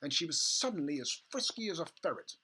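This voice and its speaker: Casting: children's storybook narrator, theatrical and expressive, very lively intonation, bright husky voice. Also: mid-range voice, fairly quick. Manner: animated, theatrical. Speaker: storybook reader.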